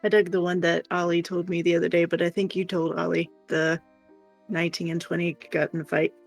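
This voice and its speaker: A woman's voice: fairly low pitch, little pitch movement, brisk, gravelly. A woman chatting casually on a live stream and reading out comments from viewers. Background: background music.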